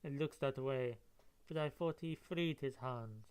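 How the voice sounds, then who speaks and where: A man's voice: mid-range voice, measured pace, a little mellow, smooth. A man reading a comic aloud and commenting on it casually, with musing, rambling asides. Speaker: comic book reader and reviewer. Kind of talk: casual read-along with running commentary.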